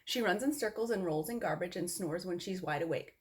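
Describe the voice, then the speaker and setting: A woman's voice: lowish voice, a little fast, lightly acted. Fretful, kind storybook shelter keeper, female, voiced by a woman narrator. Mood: matter-of-fact.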